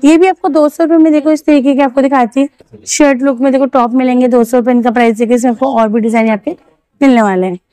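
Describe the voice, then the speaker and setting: A woman's voice: high voice, fairly even intonation, fast talker, mellow, no echo, rough recording. A young woman vlogging at an outdoor market, chatting through prices and fabrics and asking shopkeepers. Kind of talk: walking market vlog showing clothes and prices. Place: busy outdoor street market.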